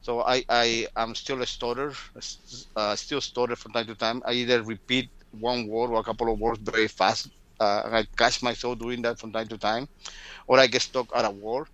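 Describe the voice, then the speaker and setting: A man's voice: medium pitch, level tone, moderate pace, fairly bright tone, no room sound, lo-fi sound. A man in his fifties from Guatemala, speaking English with a Spanish accent as an interview guest, sometimes repeating words with a mild stutter. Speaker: guest storyteller. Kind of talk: casual interview talk. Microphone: remote call.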